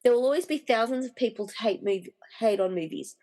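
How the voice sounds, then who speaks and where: A woman's voice: fairly high pitch, fairly quick, mellow, lightly acted. A woman playing a determined, defiant wife in a radio play, indignant and blunt as she challenges a villain. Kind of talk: hobby podcast radio play acting.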